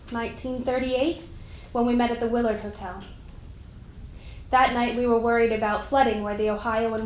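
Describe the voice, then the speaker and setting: A woman playing a dignified, proud senator's daughter, giving a formal, measured first-person dramatic reading. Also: a little high, lightly acted. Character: Mary Logan Tucker, dignified senator's daughter, proud society member. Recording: echoey room with faint noise.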